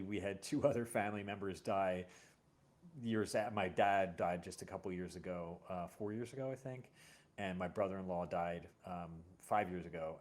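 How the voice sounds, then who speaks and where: Low-voiced, reflective man chatting. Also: a little mellow. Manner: halting, reflective storytelling. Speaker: host. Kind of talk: casual, personal conversation.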